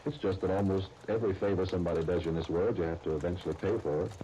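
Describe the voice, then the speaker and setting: An actor as a resigned young fellow in a 1930s radio soap. He talks offhandedly and low-key in a mellow voice. A faintly crackly old broadcast recording surrounds him. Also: rapid-fire, dull audio, natural voice.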